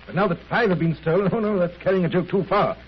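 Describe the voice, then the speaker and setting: An actor playing an anxious senior English hospital chief, fretful, urgent and stammering, in a 1930s radio drama. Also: male voice, high-pitched, fast, husky. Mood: agitated. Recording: muffled, noisy 1930s radio recording.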